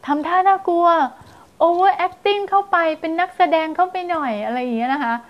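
Woman, thirties, high voice, child development teacher, animated parenting advice, small indoor studio, lapel mic. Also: normal speed, muffled recording. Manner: animated, acts out scary and playful story voices to demonstrate. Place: small indoor studio in front of blue backdrop.

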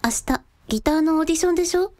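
A woman playing a considerate, composed younger sister with a gently wry streak, in a radio drama. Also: extremely high pitch, racing. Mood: practical.

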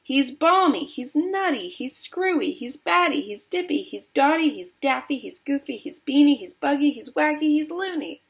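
Woman as a shrill, panicked storybook parent. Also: animated, normal speed, few pauses. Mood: aghast. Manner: shrill, emphatic exclaiming.

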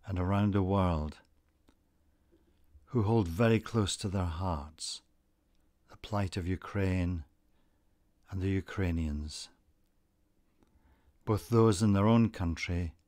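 A man with a low-pitched voice leads a guided meditation at a very slow pace. His delivery is calm, deliberate and scripted, with gentle instructions. The sound is close and dry. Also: no echo.

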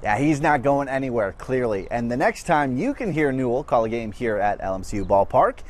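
A man in his thirties narrating a local TV news feature in a bright, lively reporter delivery. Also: medium pitch, a little fast, soft background music.

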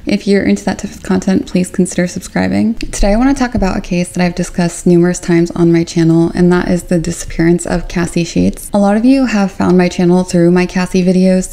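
Young woman, gravelly low earnest host. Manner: earnest, even and measured. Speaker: YouTube true-crime host. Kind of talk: scripted talk to camera. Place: small indoor room at home.

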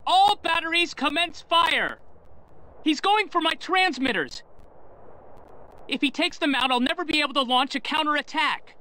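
An actor voicing an excitable, pompous and fussy nerdy toy-shop owner in a video game, petty and grandiose. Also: male voice, extremely high pitch, moderate pace, poor audio. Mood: frantic, battle cries.

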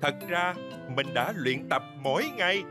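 An actor voicing a shy, earnest little theatre truck who dreams of performing, polite and expressive, in a cartoon dub. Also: medium pitch, moderate pace, over music, close to an everyday voice. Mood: hesitant.

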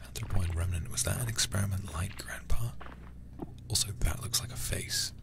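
Deep, creaky, whispering man. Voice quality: breathy whispering, low and gravelly. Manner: slow, deliberate reading with intimate asides to viewers. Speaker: livestreamer playing a horror game. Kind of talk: ASMR game stream, softly reading game text aloud and commenting. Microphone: close microphone.

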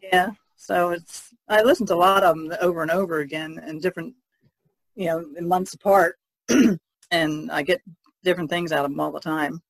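A woman's voice: fairly low pitch, fairly even intonation, normal speed, no echo, low-quality recording. A woman chatting informally as a podcast co-host, repeating words as she thinks. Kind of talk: casual chat.

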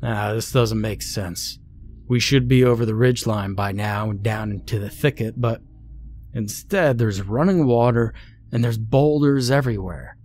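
A man's voice: medium pitch, moderate pace, darkish tone, close to an everyday voice. A man voicing a puzzled, frustrated male hiking leader in a horror story. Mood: confused.

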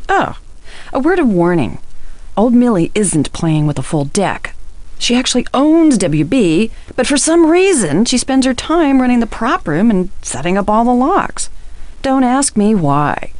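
A woman playing a brisk, offhand TV studio worker in a video game, blunt and dismissive. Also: on the high side, big pitch swings, darkish tone, no room sound.

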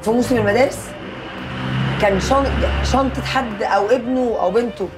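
A woman in her thirties with an Egyptian accent, hosting a conversational interview with expressive, probing questions. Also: a little high, a little fast, low-quality recording. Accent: Egyptian Arabic. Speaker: TV host. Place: small shoe repair workshop. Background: background music.

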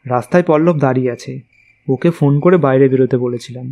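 A man's voice: mid-range voice, quick pace, warm tone. A man narrating a scripted story in the first person.

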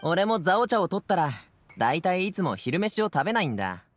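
A man's voice: sits high, very animated, fast talker. A man voicing an easygoing but headstrong young man in an anime game, speaking in a casual, youthful way. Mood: friendly, generous. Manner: casual youthful masculine speech.

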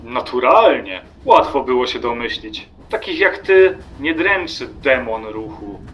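Male actor as an obsessive, indignant traveller in radio drama: slow, scornful delivery, very animated pitch. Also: muffled recording, a little hiss or hum. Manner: scornful, theatrical. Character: indignant, obsessive male wandering train passenger. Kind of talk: radio play acting.

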